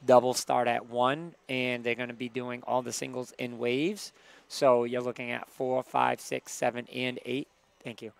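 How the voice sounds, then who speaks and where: A man's voice: mid-range voice, level tone, a little slow, gravelly. A man hosting a gaming livestream, reading out tournament details in a casual way with lots of fillers. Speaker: livestream host.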